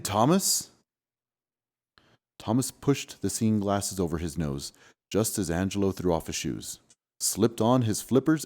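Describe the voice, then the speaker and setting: Man, low-pitched voice, very lively intonation, expressive storybook narration, dramatic emphasis. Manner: animated, dramatic, slows for emphasis. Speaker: storybook reader, dad. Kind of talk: expressive children's storybook reading.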